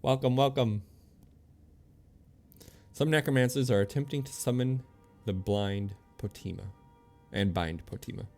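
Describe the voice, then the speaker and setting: A man's voice: mid-range voice, a little slow, pauses often, darkish tone. A man streaming a video game, chatting casually with viewers and commenting as he plays in an easygoing way. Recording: game music behind the voice. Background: video game music.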